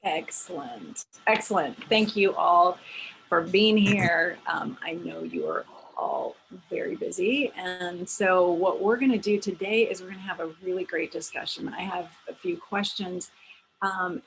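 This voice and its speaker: A woman moderating a webinar panel in an upbeat, brisk way over video call. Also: on the low side, expressive, a bit bright, a little hiss or hum, rough recording.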